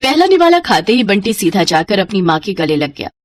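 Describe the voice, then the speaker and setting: Female narrator for children's tales; animated, expressive scripted delivery, mid-pitched. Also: a little fast. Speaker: storyteller.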